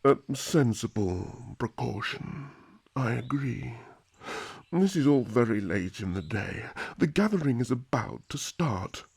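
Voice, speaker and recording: Man playing a husky, blustering old-fashioned elf king. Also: mid-range voice, lively intonation, slow pace, a little mellow, exaggerated voice. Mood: grudging. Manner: regal, blustering, stubborn. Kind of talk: fantasy audio roleplay acting.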